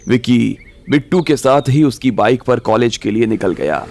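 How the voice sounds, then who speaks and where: A man's voice: medium pitch, animated, moderate pace, a little mellow, slight background noise. A man narrating a scripted audio story as its storyteller. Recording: music bed behind the voice.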